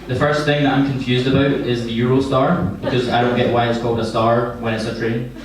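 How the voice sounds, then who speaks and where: A young man from Scotland with a Glaswegian accent, doing a stand-up set of deadpan one-liners. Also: mid-range voice, brisk. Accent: Glaswegian Scottish. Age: young adult. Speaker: stand-up comedian. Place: comedy venue before an audience. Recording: echoey live room, distant mic, noisy.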